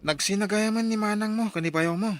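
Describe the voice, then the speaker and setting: An actor playing a patient, gentle young suitor in a radio drama, with an Ilocano accent. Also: male voice, high voice, brisk, soft background music, lightly acted. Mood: gentle, sincere.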